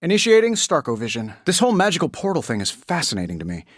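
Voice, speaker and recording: An actor playing a wisecracking, self-assured genius inventor superhero with an American accent in a video game. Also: male voice, fairly high pitch, huge pitch swings, quick pace, dry recording, studio quality. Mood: glib, enthusiastic. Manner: glib, sarcastic, self-assured. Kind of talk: video game character voice lines.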